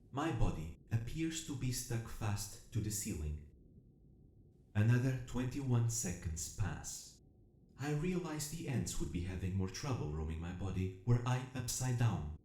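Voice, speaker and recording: A man voicing a damaged, logical robot narrating an audio drama in a measured, formal, clinical and precise manner. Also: fairly low pitch, warm tone, reverberant. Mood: calm, methodical. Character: damaged military robot awakening, logical, methodical, quietly curious.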